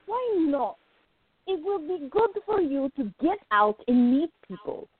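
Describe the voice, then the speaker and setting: A woman's voice: high-pitched, big pitch swings, a little slow, dry recording. A woman playing an outgoing, teasing gallery worker in a radio play, talking in a playful, emphatic way. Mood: playful, friendly. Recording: tinny, phone-like narrow sound.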